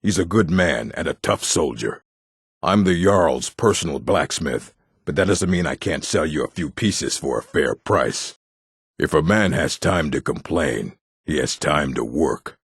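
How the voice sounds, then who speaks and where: A man voicing a gruff, proud orc warrior and smith in a fantasy video game, blunt and stern, with a gravelly, husky voice. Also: extremely low pitch, animated, a little slow, dry recording, studio quality, full character voice. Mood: gruff, matter-of-fact. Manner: blunt, stern, clipped phrasing.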